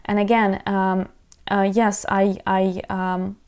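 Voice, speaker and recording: Ukrainian-accented woman, halting lesson talk. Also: mid-range voice, little pitch movement, a little mellow, smooth. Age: 30s. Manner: frequent hesitations with uh and um. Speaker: language teacher.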